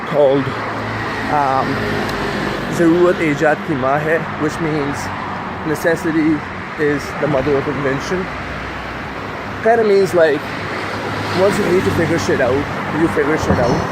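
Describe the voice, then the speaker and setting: A young man whose first language is Urdu rambles casually as he films himself walking down a city street, full of fillers and easy asides. His voice sits a little high, and the hum of cars and street traffic runs clearly underneath. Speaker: vlogger. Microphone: handheld camera while walking. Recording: street traffic noise behind.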